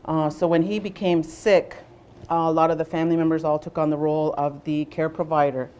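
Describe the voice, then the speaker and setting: Low-voiced woman presenting without a mic. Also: level tone, brisk, clear, resonant tone. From Southwestern Ontario, Canada. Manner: loud voice, unamplified, frequent ums and uhs. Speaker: workshop facilitator, nurse navigator. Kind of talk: presentation to a workshop audience. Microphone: no microphone, speaking to a room.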